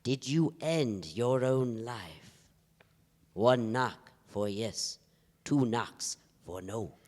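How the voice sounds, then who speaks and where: A man's voice: medium pitch, extremely slow, darkish tone. A man in a staged play reading, playing a solemn, grandiose psychic who speaks in a formal, portentous way. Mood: solemn, incantatory. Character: solemn, grandiose self-styled psychic medium named Joe. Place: theatre stage. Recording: reverberant theatre hall recording.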